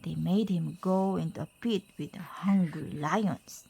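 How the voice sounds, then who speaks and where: A woman reading a children's picture book aloud to a child, in simple, deliberate phrases with pauses. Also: fairly low pitch, creaky, dark tone, slightly rough.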